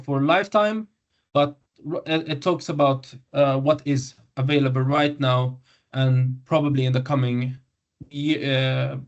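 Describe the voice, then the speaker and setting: A man in his twenties from Syria, a doctor giving an informal webinar presentation, halting with many fillers and self-corrections over a video call. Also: mid-range voice. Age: 20s. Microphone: video call microphone. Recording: muffled lo-fi webinar audio.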